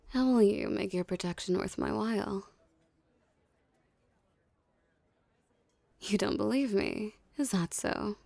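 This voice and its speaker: A woman's voice: medium pitch, a little mellow, dry recording. A woman playing a dominant, teasing mafia boss in an ASMR roleplay, speaking with cool, condescending flirtation. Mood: confident, menacing. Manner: smooth menacing flirtation, condescending, unhurried. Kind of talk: ASMR roleplay, scripted character acting.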